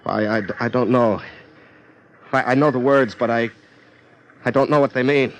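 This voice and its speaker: An actor playing a troubled, withdrawn and fearful fisherman, halting and guarded, in 1950s radio drama style. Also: medium pitch, fairly even intonation, natural voice. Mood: anxious, defensive. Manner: halting, guarded, anxious delivery. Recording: noisy old radio recording.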